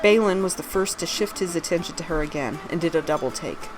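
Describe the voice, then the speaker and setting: Woman, fairly low pitch, fantasy story narration, scripted read-aloud, background music. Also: fairly quick, low-quality recording. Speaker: author reading own fantasy story aloud.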